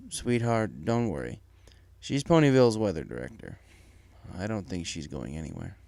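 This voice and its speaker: A man playing a worried pony father and husband, hesitant and measured, in a dramatic story reading. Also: sits low, wildly swooping pitch, gravelly, darkish tone, no echo, pitched below the performer's own voice, exaggerated voice. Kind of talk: dramatic fan fiction audio reading.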